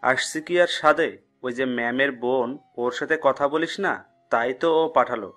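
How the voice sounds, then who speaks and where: A man's voice: medium pitch, unhurried, fairly bright tone, faint music behind, natural voice. A man playing a blunt, slangy young college buddy in an audio story.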